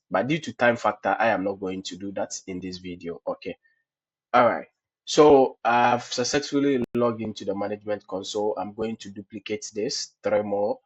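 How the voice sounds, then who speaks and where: Husky low-voiced man with a cold. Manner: explains step by step, with frequent fillers and "okay, so". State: has a cold. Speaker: tutorial host. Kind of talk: screen-recorded cloud lab walkthrough. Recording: dull lo-fi screencast audio.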